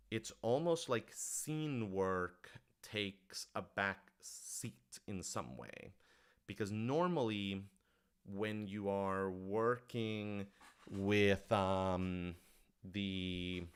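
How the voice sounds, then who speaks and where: A man's voice: fairly low pitch, clean tone. A man talking casually and thoughtfully as a writer-director answering audience questions on a livestream, working out his explanations as he goes.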